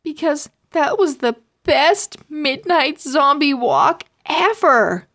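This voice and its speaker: A woman voicing an excited young boy, breathless and exclaiming, in a children's storybook reading. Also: sits high, slow pace, pitched above the performer's own voice, exaggerated voice. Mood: breathless excitement. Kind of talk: children's storybook read-aloud.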